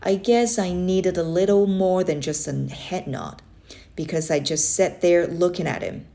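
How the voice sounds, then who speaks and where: A woman narrating a children's book aloud in an expressive storytelling style. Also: on the low side, a little slow. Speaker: storybook reader.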